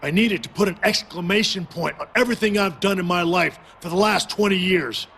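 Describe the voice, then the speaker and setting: A man's voice: high voice, brisk, creaky, fairly bright tone, slight background noise. A man playing a battle-worn, determined veteran hero wrestler in a video game, delivering an earnest, emphatic promo.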